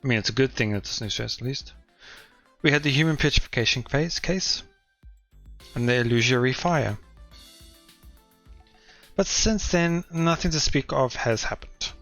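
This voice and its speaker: A man's voice: mid-range voice, normal speed, pauses often, a bit bright, faint music behind, natural voice. A man reading aloud as a wry, self-deprecating teenage schoolboy narrating his own story from a visual novel, in a dry manner.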